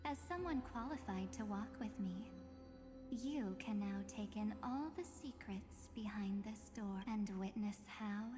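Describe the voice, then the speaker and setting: Game role: actress as composed, cryptic woman guarding memories, measured storytelling, reverb over music. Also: mid-range voice, lightly acted. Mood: grave, knowing. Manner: measured, enigmatic, theatrical. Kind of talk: video game voice acting, storytelling.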